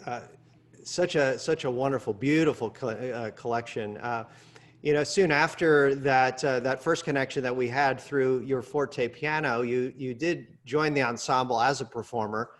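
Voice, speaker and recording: A male interview host asks his guest questions in an admiring way. He hesitates often and fills his pauses with "uh" as he shapes each question. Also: medium pitch. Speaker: interview host, executive director. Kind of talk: interview conversation.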